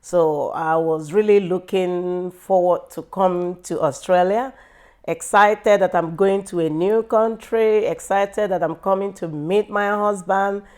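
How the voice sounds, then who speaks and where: Nigerian woman, middle-aged, talks real slow and thoughtful, kinda low voice, lots of pauses. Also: fairly even intonation, darkish tone. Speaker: interview guest. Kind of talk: reflective personal interview.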